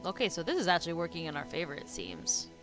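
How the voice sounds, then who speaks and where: A woman's voice: on the low side, huge pitch swings, quick pace, over music. A woman giving casual, off-the-cuff commentary as she streams a video game.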